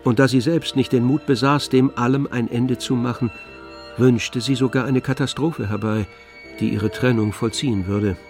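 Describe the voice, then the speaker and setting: An actor playing the all-knowing narrator of a literary tragedy in a radio drama, telling it in a measured, expressive way. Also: male voice, medium pitch, soft background music, natural voice. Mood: wry, detached.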